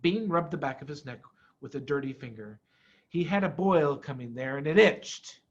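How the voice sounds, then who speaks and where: A man's voice: a little high, animated, moderate pace, muffled recording. A man reading a children's story aloud in a theatrical, emphatic manner. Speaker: storybook reader, school head.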